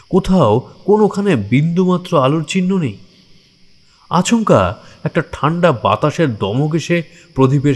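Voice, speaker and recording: Casting: male narrator for horror audio stories, medium pitch, dramatic and suspenseful delivery. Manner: theatrical, suspenseful.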